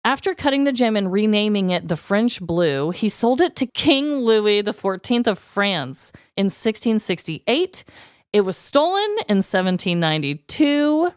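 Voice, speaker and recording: A woman's voice: medium pitch, clean tone, dry recording, studio quality. A woman co-hosting a podcast, telling a story in a casual, slangy, conversational way. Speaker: podcast co-host.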